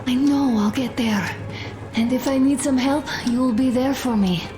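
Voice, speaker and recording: A woman playing a gravely ill young wife in a video game, soft, halting and tender. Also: sits high, music in the background. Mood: wistful, hopeful. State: weak, sick.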